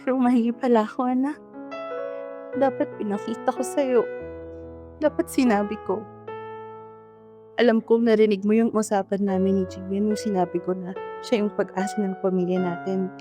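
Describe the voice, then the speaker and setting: A woman's voice: medium pitch, fairly even intonation, moderate pace, pauses often, natural voice. A Filipino woman playing a loving, remorseful mother in an emotional radio drama, speaking in a pleading, heartfelt way. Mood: remorseful, tender. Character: remorseful, loving widowed mother apologizing to her eldest son. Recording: piano music under the voice.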